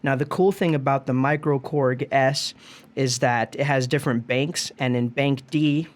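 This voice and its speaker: A man with a mid-pitched voice walks through a piece of music gear step by step. He speaks casually and informally, like a presenter guiding someone who is following along, with easygoing instructions. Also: steady tone, normal speed.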